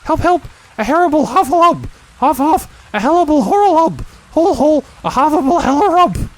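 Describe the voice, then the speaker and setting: A man voicing a tiny, timid young pig who stammers breathlessly in a squeaky voice. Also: unhurried, rough recording. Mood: panicked.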